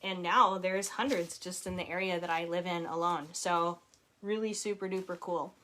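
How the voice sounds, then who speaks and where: A woman's voice: fairly low pitch, fairly even intonation, moderate pace, slight background noise. A woman in her forties, an author hosting a casual live chat from home, reading out viewer comments and laughing often. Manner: chatty and warm, reads viewer comments aloud, laughs often.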